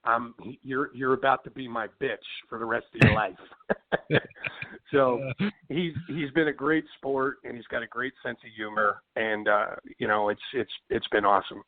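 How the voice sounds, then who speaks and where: A rock singer with a Philly accent talks loosely, piling up fillers and restarts. His voice sits at a middling pitch. The sound comes through a thin, tinny phone line. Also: normal speed. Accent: Philadelphia. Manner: rambling, many fillers and restarts, says "you know what I mean". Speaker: rock band singer, interview guest. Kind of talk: casual interview chat.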